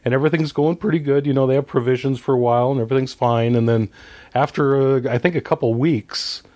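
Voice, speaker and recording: A man talking casually as a podcast guest, explaining things in a halting way and correcting himself as he goes. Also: medium pitch, steady tone, a little mellow. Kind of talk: casual conversational movie discussion, retelling a story.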